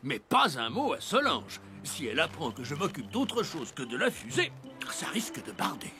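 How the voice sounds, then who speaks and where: A kindly old cartoon Santa, hearty and grandfatherly, his pitch swinging widely as he talks. He, and music plays behind him. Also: big pitch swings, moderate pace. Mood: conspiratorial. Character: kindly old Father Christmas, jolly and slightly fretful. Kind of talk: animated cartoon dubbing.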